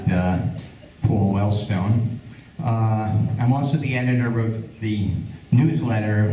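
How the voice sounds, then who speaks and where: A man introducing speakers at a club meeting, halting with many fillers and restarts. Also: fairly low pitch, warm tone. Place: community meeting room. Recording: echoey room, far from the mic.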